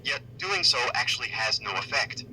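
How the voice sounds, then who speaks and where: A man's voice: medium pitch, very animated, normal speed, pushed and bright, slight background noise, low-quality recording. A man reading a scripted, analytical video essay narration.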